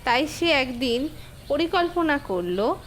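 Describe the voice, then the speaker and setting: A woman's voice: high-pitched, sing-song, takes it slowly, a bit bright, slight background noise. A woman giving scripted narration for a cartoon fable as the storyteller.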